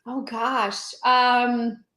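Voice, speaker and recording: A woman from Transylvania with a Hungarian accent, telling her life story as an interview guest in a lively, laughing way. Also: on the high side, drawn-out words, echoey room. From Transylvania, Romania. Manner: animated, laughs often, acts out quotes. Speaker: guest, real estate agent.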